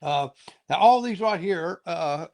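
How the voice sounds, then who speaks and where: A man's voice: high-pitched, lively intonation, measured pace, creaky, darkish tone, no room sound. Chuckling man host, dry close sound. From North Carolina. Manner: rambling, chuckling often, many restarts. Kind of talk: casual interview chat.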